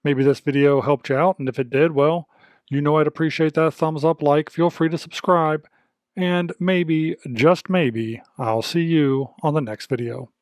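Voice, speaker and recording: A man's voice: on the high side, fairly even intonation, a little slow, a little mellow, no room sound. Plainspoken man demonstrating gear. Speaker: video host demonstrating radio gear. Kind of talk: casual hands-on product demonstration narration.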